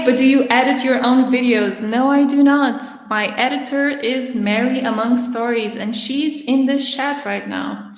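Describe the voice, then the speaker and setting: Woman, 20s, raspy voice, strained speech, chatty livestream host, roomy echo, long reverb tail. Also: fairly high pitch. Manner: chatty, with frequent restarts and laughter. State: having trouble speaking. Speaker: livestream host answering viewer questions. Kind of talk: casual Q&A livestream.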